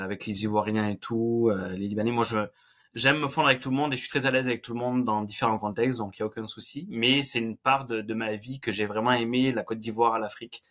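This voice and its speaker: A man's voice: on the low side, fairly even intonation, quick pace, fairly bright tone. A man from France, the guest on a podcast over a video call, talking with many hesitations and some self-mocking humor. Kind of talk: conversational interview.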